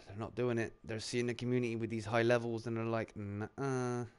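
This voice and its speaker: Male streamer host, casual and rambling, average pitch. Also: flat delivery, moderate pace, clean tone. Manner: laid-back, rambling, lots of "like" and "dude". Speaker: channel host on a live round-table stream. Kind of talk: casual chat.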